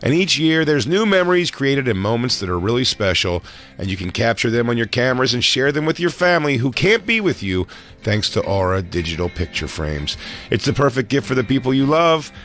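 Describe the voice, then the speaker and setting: Man, comedy podcast host, lively pitch, loud riffing banter. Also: a little fast, faint music behind. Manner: loud, riffing, slipping into silly voices.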